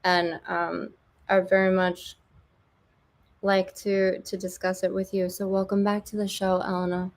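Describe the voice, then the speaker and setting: A woman's voice: mid-range voice, little pitch movement, measured pace. A woman hosting a podcast in casual chat, chatty and joking with many fillers. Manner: chatty, filler-heavy, jokey. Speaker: podcast host.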